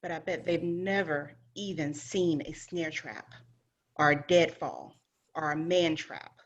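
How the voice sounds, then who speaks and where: Tough, cool forest huntress, female reader, table read: clipped, husky delivery, muffled recording. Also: low voice, unhurried. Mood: cocky, instructive. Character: tough, hard-as-nails young female forest hunter, teasing. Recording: dull, lo-fi sound.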